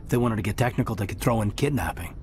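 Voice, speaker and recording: Actor playing a soft-spoken earnest male soldier. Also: on the low side, rapid-fire, a little hiss or hum, close to an everyday voice. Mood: reflective. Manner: soft-spoken and earnest, often dropping toward a whisper. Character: earnest young male soldier, loyal and thoughtful. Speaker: soldier serving under the commander. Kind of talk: video game voice acting. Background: game music.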